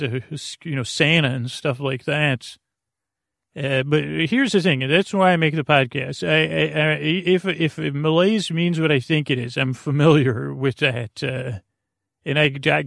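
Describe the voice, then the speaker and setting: Male podcast host, gravelly and creaky, dry close sound. Meandering, stop-and-start delivery, whimsical and casual. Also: fairly high pitch, fairly bright tone, dry recording. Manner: goofy, whimsical. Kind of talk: rambling casual monologue for a sleep podcast.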